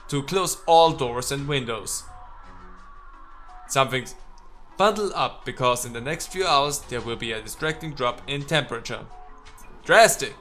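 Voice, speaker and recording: Bright-voiced man playing a game over music. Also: mid-range voice. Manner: sarcastic, swears in exasperation. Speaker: let's player. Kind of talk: gaming commentary mixed with reading game text aloud.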